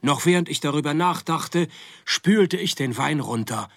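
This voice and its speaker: An actor playing a laconic, sardonic private detective who narrates and leads a radio play with deadpan wit. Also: male voice, a little high, measured pace, creaky, a little hiss or hum. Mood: wry, deadpan. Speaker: narrator and lead.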